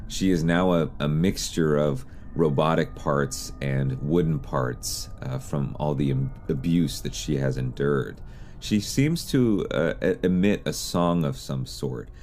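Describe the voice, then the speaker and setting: Male narrator for horror explainer series, very deep register, slight background noise. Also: darkish tone. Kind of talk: semi-scripted explanatory narration.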